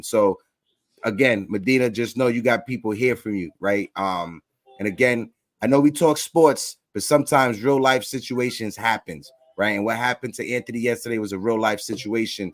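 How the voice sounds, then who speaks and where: A man hosts a casual live sports chat in a mid-pitched voice. He speaks straight to listeners and co-hosts, slangy and repeating his phrases. The sound is dry and close. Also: no echo. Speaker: host of a sports fan show.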